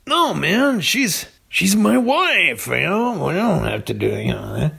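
A man's voice: sits high, very animated, a little slow, vocal fry, bright, forceful tone, breathy edge. A man chatting casually and comically as a podcast storyteller, laid-back and hesitant. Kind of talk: casual comedic chat.

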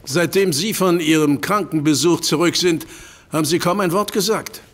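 An actor playing a worried, formal professor in an audio drama, fussy and exasperated. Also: male voice, a little high, moderate pace, fairly bright tone. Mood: concerned. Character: worried elderly male professor, institute head.

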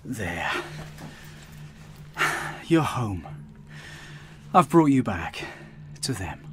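A resigned, grieving male figure in an audio drama. He is subdued and slow with wide pitch movement, over faint background noise. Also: sing-song, full character voice. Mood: sad, resigned. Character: sorrowful, resigned man speaking to a loved one.

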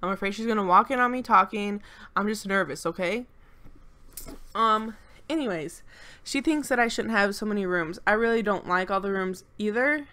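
Young woman, stuffy nose, congested, nervous rambling, smooth clear tone, casual home voice-over. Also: medium pitch, moderate pace, bright, forceful tone. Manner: rambling, nervous and apologetic, many fillers. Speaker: channel co-host. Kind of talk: casual voice-over commentary on a game build.

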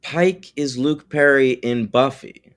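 A man's voice: medium pitch, slow. Wry, rambling man hosting a podcast. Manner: wry, rambling, swears casually. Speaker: podcast co-host. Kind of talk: casual film podcast chat.

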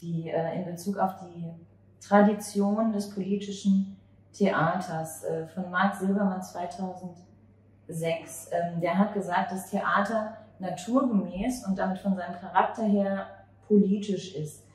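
Female interviewer, thirties, halting and reflective, heard from off-mic with some background noise. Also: mid-range voice, fairly even intonation, a little mellow. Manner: halting, searching for words. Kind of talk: conversational interview with long reflective questions. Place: small cafe or bar table at night. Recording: very echoey, distant, noisy room ambience.

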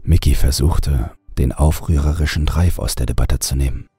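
A male narrator reads a scripted horror story. His voice is very deep and heavily husky, with a dark, mellow colour. Faint music plays behind him. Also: normal speed, no room sound.

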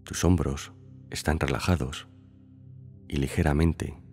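A man leading a scripted guided meditation in calm, unhurried phrases with long pauses. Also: very deep voice. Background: background music.

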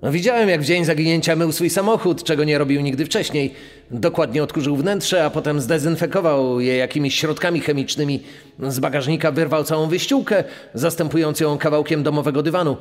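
Male neighbour witness acted by a man, true-crime audio, higher pitch, music underneath. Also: a little fast, close to an everyday voice. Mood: matter-of-fact. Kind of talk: dramatised witness testimony. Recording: long reverb with music behind.

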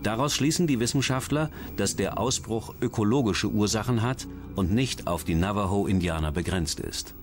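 Man, documentary narrator, lowish voice, scripted true-crime delivery, clear music bed behind. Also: moderate pace, hardly any pauses. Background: background music.

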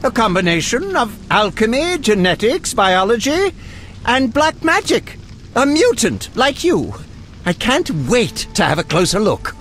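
An eccentric game alchemist, high-voiced and very animated. He speaks like a fussy scholar, precise and morbidly curious, over faint music. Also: measured pace, full character voice. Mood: scholarly, fascinated. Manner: erudite, precise, relishing grisly detail. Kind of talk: video game dialogue acting.

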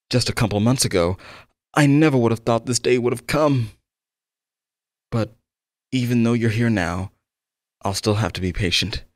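A man's voice: fairly low pitch, dry recording, studio quality, natural voice. A man playing an obsessed, possessive young male game developer, tender, pleading and fervent, in an intimate audio roleplay monologue. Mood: coaxing, obsessive.

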